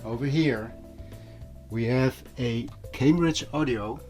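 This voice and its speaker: A man's voice: medium pitch, slow pace, a little mellow. Casual man vlogging over music. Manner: conversational, explanatory. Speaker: audio vlogger. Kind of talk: casual vlog talk to viewers. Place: small room at home. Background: music playing.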